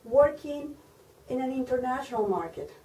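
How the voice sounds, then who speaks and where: A woman's voice: high voice, animated, normal speed, mellow. A woman hosting an interview, asking brief, direct questions. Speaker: interviewer, host. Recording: echoey room, mic at a distance.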